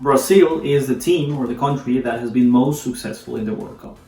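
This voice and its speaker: A man's voice: medium pitch, normal speed, dark tone. A man from Colombia, a Spanish speaker, hosting a casual explainer video about a sport. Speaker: video host explaining soccer. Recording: echoey room, distant mic, background music.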